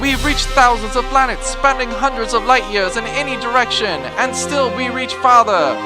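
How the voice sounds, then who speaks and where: A grandiose announcer in the manner of a 1940s radio serial, booming out the introduction at a middling pitch. Loud orchestral music surges underneath the voice the whole time. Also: moderate pace.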